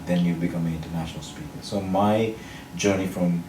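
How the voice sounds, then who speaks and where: A man from Sri Lanka, a public speaking champion, answering interview questions in a measured, explanatory way, with a mostly neutral international accent. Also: deep voice, fairly even intonation. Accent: neutral international English, faint Sri Lankan. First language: Sinhala or another Sri Lankan language. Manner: measured, explanatory, builds points in lists. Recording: roomy echo, mic at a distance, faint noise.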